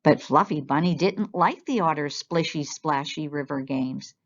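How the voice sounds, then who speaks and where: A woman storyteller reading a children's picture book aloud in an expressive style. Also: low voice, normal speed. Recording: dull, lo-fi sound.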